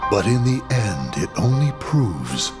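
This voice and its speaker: Game overlord: very low, slow, grandiose cold schemer with wide swells, loud music behind. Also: male voice, very animated, full character voice. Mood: cold, calculating. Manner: grave, measured, grandiose. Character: solemn, commanding male villain overlord, coldly patient schemer. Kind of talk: video game cutscene acting.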